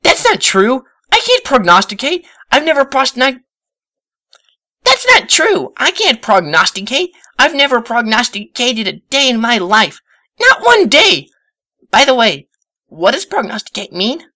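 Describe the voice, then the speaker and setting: A man voicing an excitable, bubbly young female cartoon pony in an exuberant, dramatic manner. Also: very high voice, measured pace, pitched above the performer's own voice, heavily acted. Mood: indignant, flustered.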